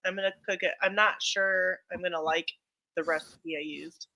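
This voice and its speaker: A woman chatting casually on a livestream, talkative and quick to laugh. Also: lowish voice, fairly even intonation, bright, forceful tone, low-quality recording. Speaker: livestream co-host. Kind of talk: casual chat.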